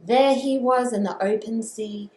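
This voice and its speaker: A woman reading a children's story aloud as a storyteller, with expressive, drawn-out dramatic phrasing. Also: fairly high pitch. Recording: echoey room with faint background noise.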